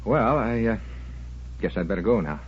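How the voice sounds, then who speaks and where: Guy acting an earnest troubled pal in an old radio soap, lowish husky voice, muffled recording. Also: lively intonation, slight background noise, lightly acted. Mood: apologetic, earnest. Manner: blunt, halting, self-deprecating.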